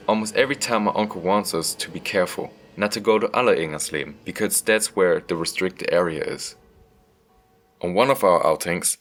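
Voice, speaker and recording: A man reading a scripted first-person story as a motion comic narrator, measured and earnest. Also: low-pitched, fairly bright tone.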